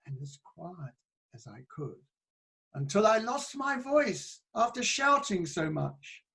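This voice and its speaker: A man giving a motivational talk on public speaking, moving between loud and soft delivery as he tells stories. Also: very high-pitched, gravelly. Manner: shifts between loud and soft, playful with his voice.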